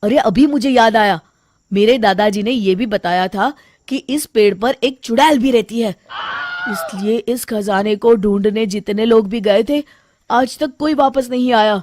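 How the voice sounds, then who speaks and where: A woman's voice: on the high side, a little slow, darkish tone, a little hiss or hum, close to an everyday voice. A woman voicing an earnest, cautious young man in a cartoon story, talking to his friend. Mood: nervous.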